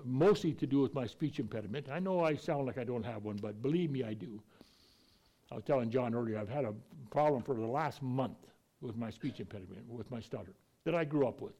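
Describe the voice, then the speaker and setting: A man's voice: medium pitch, warm tone. A man preaching a conversational Bible teaching, folksy and plainspoken, with an occasional stutter and restarts.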